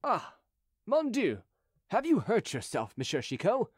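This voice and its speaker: An anxious male palace sentry, played by a male narrator from a storybook. His voice is high and swooping, deferential and persuasive, recorded dry. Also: big pitch swings, fairly quick, no echo. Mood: startled, deferential. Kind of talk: audiobook character dialogue.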